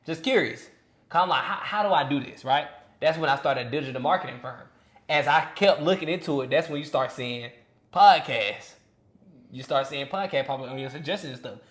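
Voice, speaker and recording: A young man in his twenties chatting on a podcast with a slightly raised voice. His talk is loose and earnest, full of "bro" and "you feel me." The room around him rings with echo. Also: on the high side. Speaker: podcast speaker. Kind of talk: casual podcast chat. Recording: echoey room.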